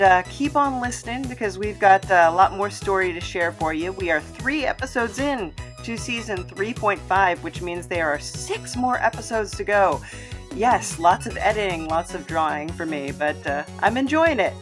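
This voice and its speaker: Female podcast host, casual chat to listeners, informal, mid-range voice, soft background music. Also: medium pitch. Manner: chatty, informal.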